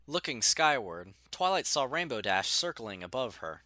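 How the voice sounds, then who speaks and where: A man narrating a scripted story in an expressive storytelling manner. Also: mid-range voice, moderate pace, no room sound.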